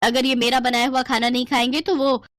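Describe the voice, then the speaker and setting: A woman's voice: high voice, level tone, fairly quick, a bit bright, dry recording, clean studio sound. A woman voicing a jealous, scornful young city bride bickering in a cartoon story.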